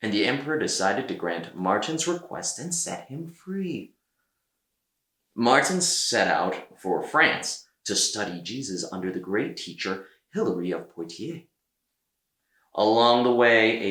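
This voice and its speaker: Animated, slow-paced male children's storyteller. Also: mid-range voice, roomy sound. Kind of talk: animated children's read-aloud narration.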